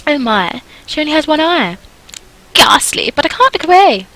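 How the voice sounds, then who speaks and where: A woman's voice: high-pitched, sing-song, moderate pace, pushed and bright, pitched above the performer's own voice, exaggerated voice, rough recording. A woman voicing a haughty, mocking young noblewoman in a visual novel, theatrical and condescending. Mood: mocking disgust. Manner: theatrical, condescending, gushing cruelty.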